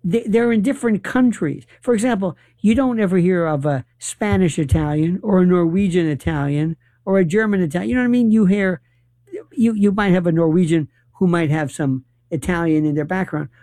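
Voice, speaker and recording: A man hosting a casual conversation, talking in an animated, halting way with many restarts and fillers. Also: high voice, dry recording, studio quality. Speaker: host.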